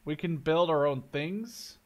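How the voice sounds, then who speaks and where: Higher-pitched young man gaming. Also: a little slow. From Canada or the Netherlands. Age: 20s. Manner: excitable, thinking aloud. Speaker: gaming video host. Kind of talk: casual let's play commentary.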